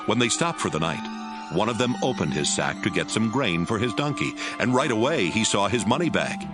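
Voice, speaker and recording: A man's voice: on the low side, sing-song, fairly quick. A man narrating a scripted audio Bible drama as its storyteller. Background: music.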